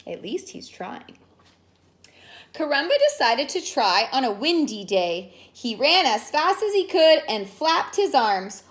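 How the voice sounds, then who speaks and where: Female preschool-style storyteller, playful, very animated high pitch, slow delivery. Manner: playful, simple explanations and questions to children. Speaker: children's teacher and story reader. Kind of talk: storybook read-aloud for young children.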